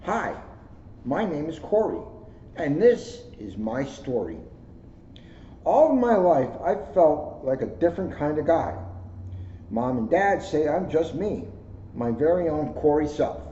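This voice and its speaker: A man's voice: on the high side, sing-song, a little slow, warm tone. A man who works as a police officer, reading a children's book aloud indoors in a storytelling style. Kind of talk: read-aloud storytelling with casual asides. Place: indoors, second floor of a borough hall. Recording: roomy echo, dull lo-fi sound, clear noise.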